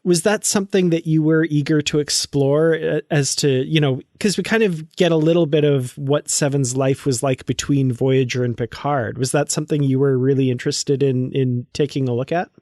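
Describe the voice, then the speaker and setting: A man's voice: a little high, fairly even intonation, normal speed, few pauses, a little mellow, dry recording, clean studio sound. A man co-hosting a conversational book-club podcast, commenting and asking the guests questions. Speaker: podcast co-host.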